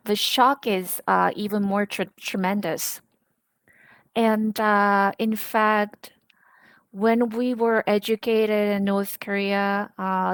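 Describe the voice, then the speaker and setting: A woman interpreting a personal testimony live, speaking haltingly and building sentences from short phrases. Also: medium pitch, smooth, dry recording.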